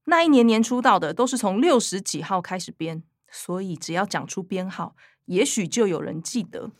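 An actress with a Taiwanese Mandarin accent voicing a matter-of-fact, bookish young daughter in a radio drama. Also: female voice, mid-range voice, animated, moderate pace, dry recording, clean studio sound.

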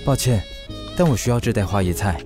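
A man voicing a calm, polite bar owner in an audio drama. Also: on the low side, brisk, over music, natural voice.

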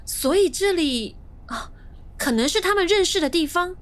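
A woman's voice: very high voice, animated, moderate pace, a bit bright. A woman playing a sassy, impatient young woman in a suspense radio drama, teasing and scolding as she talks. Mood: curious, gossipy.

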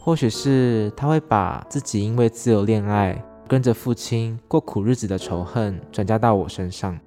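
A man's voice: on the low side, moderate pace, mellow, soft background music. A man reading a personal essay as scripted literary narration.

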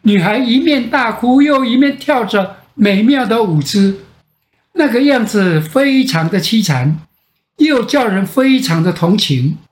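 An older man with a Taiwanese Mandarin accent tells a bedtime fairy tale as a grandfather, with dramatic pauses and repetition. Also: sits high. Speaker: grandfather storyteller. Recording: roomy echo.

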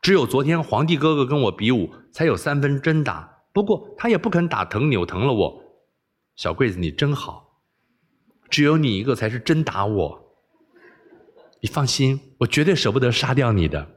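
A man's voice: medium pitch, sing-song, normal speed, slightly rough. Man doing a wilful, coaxing young princess. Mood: tender. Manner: coaxing, bossy, playful. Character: spoiled, wilful young princess who relishes being scolded.

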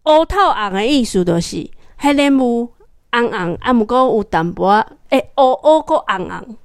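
A woman's voice: on the high side, expressive, normal speed, dry recording, studio quality. A woman with a Taiwanese accent talking children through a picture book as their mother, asking guiding questions and repeating answers.

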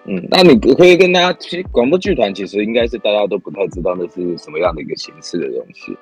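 A man's voice: fairly low pitch, expressive, racing, slightly rough, faint music behind. A man hosting an interview, attentive and prompting with short acknowledgements. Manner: attentive, frequent short backchannels. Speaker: host.

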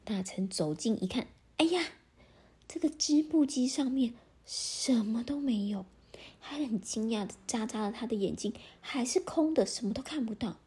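A woman with a breathy, often half-whispered voice, telling a children's story in a gentle, animated way. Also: fairly high pitch, normal speed.

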